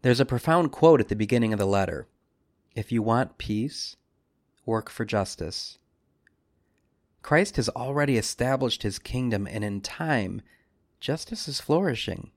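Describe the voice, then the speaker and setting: A man speaking as a priest, leading a scripted devotional meditation with measured, solemn phrasing and deliberate pauses. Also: medium pitch, lively intonation, no echo, studio quality.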